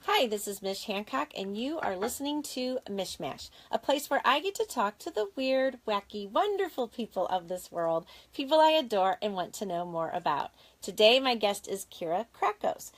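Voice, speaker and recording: A woman hosting a podcast in casual chat, bubbly and quick to exclaim and laugh. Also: mid-range voice, big pitch swings. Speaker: podcast host.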